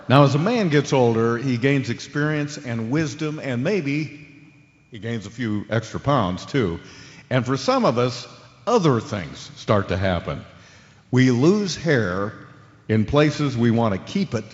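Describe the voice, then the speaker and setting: An actor playing an unflappable male sports talk radio host, moving between interview banter and polished ad-read patter. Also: medium pitch. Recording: dull audio in a reverberant hall.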